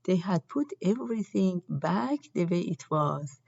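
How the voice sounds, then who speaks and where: A woman reading a children's storybook aloud in an expressive, deliberate way. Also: lowish voice, vocal fry, husky, dull audio. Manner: deliberate, acts out characters' lines. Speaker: storybook reader.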